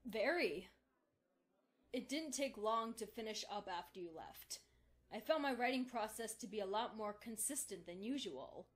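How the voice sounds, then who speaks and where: A woman's voice: mid-range voice, lively intonation, moderate pace, close to an everyday voice. A woman playing a dutiful young pony student, polite, earnest and a little bashful, in an acted fan audio drama. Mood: agreeable.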